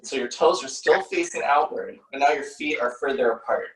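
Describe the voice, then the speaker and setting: A man's voice: medium pitch, normal speed, fairly bright tone. A man, a dancer, explaining movements step by step, recorded from a distance in a roomy space. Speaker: ballet dancer demonstrating positions. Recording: roomy, distant mic with faint noise.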